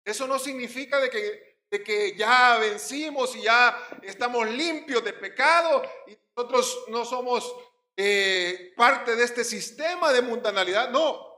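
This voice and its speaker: Puerto rican pastor guy preaching hard, bright forceful voice, echoey church. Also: very high voice, a little slow. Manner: emphatic preaching, swinging between loud stresses and quiet drops. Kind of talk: sermon.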